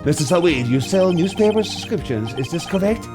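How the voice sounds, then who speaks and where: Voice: medium pitch, animated, brisk, music in the background, natural voice. A performer voicing a formal, slightly befuddled old male judge in a dramatic reading of a video game. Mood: solemn.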